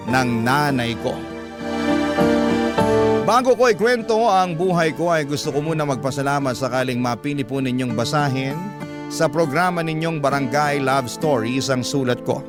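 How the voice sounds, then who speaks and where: A man narrating as a radio drama host, reading a scripted story in measured, earnest phrasing. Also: medium pitch. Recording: music behind the voice.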